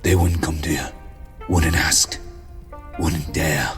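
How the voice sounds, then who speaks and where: A man's voice: extremely low pitch, steady tone, takes it slowly, faint music behind, heavily acted. An actor playing an embittered, hot-tempered human king in a fantasy audio drama, brooding and commanding. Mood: bitter, brooding. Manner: commanding, brooding, erupting into shouts. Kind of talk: full-cast fantasy audio drama acting.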